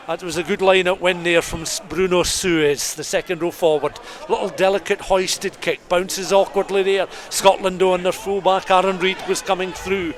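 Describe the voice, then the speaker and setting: A man's voice: high-pitched, background noise. A man giving live radio rugby commentary as the play-by-play caller, describing the action in detail as it unfolds. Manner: descriptive, scene-setting, rising with the play. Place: commentary position at a rugby ground.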